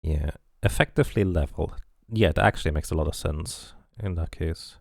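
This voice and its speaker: A man's voice: very deep voice, moderate pace, gravelly, no echo, clean studio sound. A man giving casual game commentary, thinking aloud as he plays and reading bits of game text out. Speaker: streamer playing and narrating a game.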